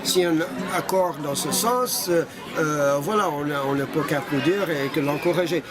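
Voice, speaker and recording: Older man from Canada, hesitant, slightly high voice, street interview amid a crowd. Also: unhurried. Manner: hesitant, many fillers and restarts. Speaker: interviewee, association founder. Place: outdoors in a busy street. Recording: loud street crowd behind. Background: crowd noise.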